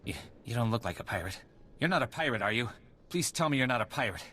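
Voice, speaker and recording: An actor playing an unhinged, vengeful settler in a video game, muttering and repeating himself with menace. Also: male voice, medium pitch, animated, fast talker, pushed and bright, slight background noise. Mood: paranoid, pleading. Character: unhinged, vengeful male settler obsessed with pirates.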